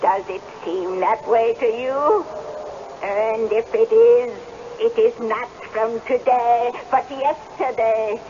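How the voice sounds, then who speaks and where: A taunting old hag of a witch in a vintage radio play, cackling and insinuating. Her high voice draws the words out slowly and theatrically. The old broadcast is dull and very noisy. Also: warm tone. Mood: gleeful, menacing. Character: mocking old hag witch, shape-shifting spirit, taunting and tempting. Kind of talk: 1970s radio drama acting.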